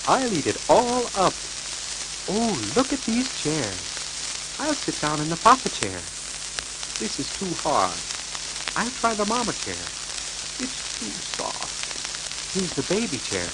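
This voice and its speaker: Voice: mid-range voice, huge pitch swings, slow pace, long pauses, pitched above the performer's own voice. A storyteller voicing a curious, fussy little girl on a crackly 1940s children's record.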